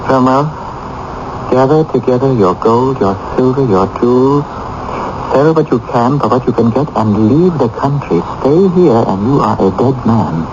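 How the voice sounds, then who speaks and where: An actor playing a worldly court painter in a radio drama, theatrical and emphatic. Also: male voice, medium pitch, lively intonation, moderate pace, noisy background, lightly acted. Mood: urgent, grave. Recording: muffled old radio broadcast, loud music.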